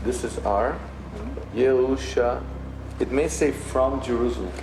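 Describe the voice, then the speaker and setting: A man on location examining inscriptions in a curious, animated way, asking questions. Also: medium pitch, unhurried, background noise. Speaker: documentary host on location. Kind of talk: on-site conversation.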